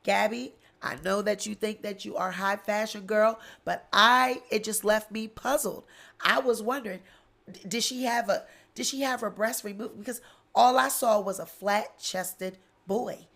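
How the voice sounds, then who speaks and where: A middle-aged woman with a nasal voice, hosting a live celebrity gossip stream in a sassy, animated way, recorded on a studio mic in a small studio. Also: medium pitch, unhurried, gravelly. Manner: sassy, animated, swearing and laughing. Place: small studio with blue backdrop. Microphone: large studio mic on boom arm.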